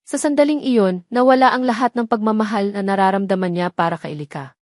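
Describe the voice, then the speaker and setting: A woman's voice: medium pitch, a little fast, no echo, studio quality. A woman reading a scripted story as a narrator, in a dramatic style.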